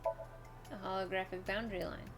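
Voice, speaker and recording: A woman's voice: mid-range voice, steady tone, moderate pace. A woman streaming a video game, chatting casually and reading game text aloud. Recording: game music behind the voice. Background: game music.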